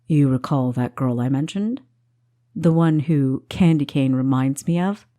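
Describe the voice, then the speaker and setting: A woman's voice: extremely low pitch, a little slow, mellow, voice pushed down. A woman voicing a good-natured male dinner guest in a storybook, with an easygoing, wistful manner. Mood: fond.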